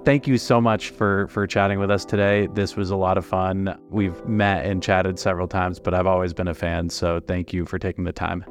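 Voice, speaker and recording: A man's voice: low-pitched, level tone, normal speed, few pauses, faint music behind. A man hosting a podcast, asking direct, friendly interview questions. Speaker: podcast host. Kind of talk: interview questions and scripted outro.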